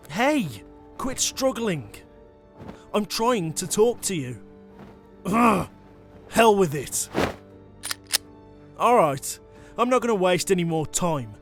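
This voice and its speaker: A man playing a taunting, impatient monster hunter with a casual, sardonic menace, in a dramatic ASMR audio roleplay. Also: fairly high pitch. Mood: exasperated, threatening.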